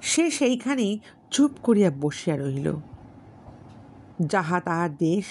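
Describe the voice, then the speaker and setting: A woman's voice: lowish voice, very animated, slow, darkish tone, a little hiss or hum. A woman reading a literary story aloud as an audiobook narrator, in scripted narration.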